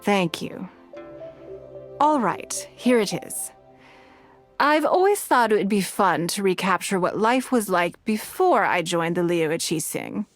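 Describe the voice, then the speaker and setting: An actress voicing a poised, wealthy businesswoman and leader in a video game, composed and measured, with an elegant, self-assured manner. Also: medium pitch, lively intonation, soft background music. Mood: gentle, relaxed.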